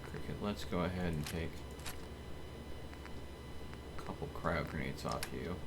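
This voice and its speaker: A man's voice: extremely low pitch, measured pace, creaky. A man giving casual live commentary as he streams a video game. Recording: faint background noise.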